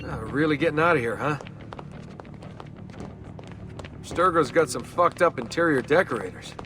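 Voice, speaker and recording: A man's voice: on the high side, fast, lightly acted. An actor playing a wry, curious young man on the run in a video game, casual and questioning. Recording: game audio under loud music. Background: loud game soundtrack music.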